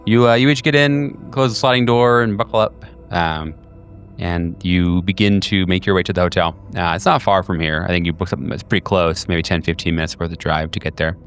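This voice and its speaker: A man in a low voice runs a tabletop horror game, describing scenes loosely with many ums. Faint music plays underneath. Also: steady tone, fairly quick. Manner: rambling, filler-heavy, with many ums and uhs. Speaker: game master.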